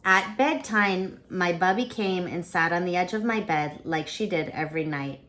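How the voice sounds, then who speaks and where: Woman reading a kids book out loud, lowish voice, dramatic and expressive storytelling. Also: normal speed. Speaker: read-aloud storyteller. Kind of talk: expressive children's storybook narration.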